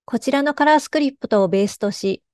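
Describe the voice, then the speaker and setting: A woman's voice: sits high, fast, a little mellow, no room sound, clean studio sound. A woman giving a scripted presentation as a lead artist.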